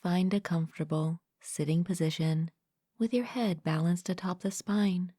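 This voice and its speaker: A woman leading a guided meditation as a mindfulness coach, speaking gently and calmly in a soft, breathy, partly whispered voice, close to the mic. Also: fairly low pitch, no echo. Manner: gentle, calm, unhurried with long pauses. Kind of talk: guided meditation, soothing scripted narration.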